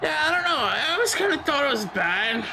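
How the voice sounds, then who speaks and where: A young man with a high-pitched voice giving casual commentary while he plays a football video game, reacting loudly to plays. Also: very animated, brisk, gravelly, crowd noise. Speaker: gamer host.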